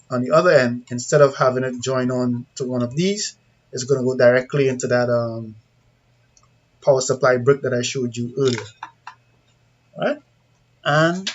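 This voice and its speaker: A man with a Trinidadian accent giving an informal hands-on tutorial, talking through each step in a plain-spoken, conversational way and checking in with viewers. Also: medium pitch. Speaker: CCTV installer giving a tutorial. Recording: dull lo-fi sound with background noise.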